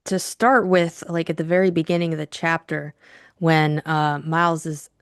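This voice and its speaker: A woman with a low voice chats informally as co-host of a book discussion. Her phrasing is youthful and loose, and the sound is close and dry. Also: fairly even intonation, normal speed, clean tone, no echo.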